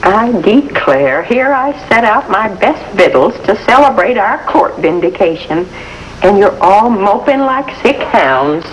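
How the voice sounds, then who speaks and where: A woman's voice: mid-range voice, sing-song, a little slow. A woman playing a fussy backwoods farm mother with a rural American accent in a 1950s television drama. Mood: scolding. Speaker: actor. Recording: faintly noisy old TV soundtrack.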